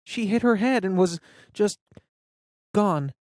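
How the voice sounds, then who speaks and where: A woman's voice: medium pitch, lively intonation, slow, a little mellow, no echo, studio quality, pitched above the performer's own voice. A woman voicing a rattled teenage girl who talks in a rush and keeps breaking into tears. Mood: anxious. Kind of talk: audiobook character voice.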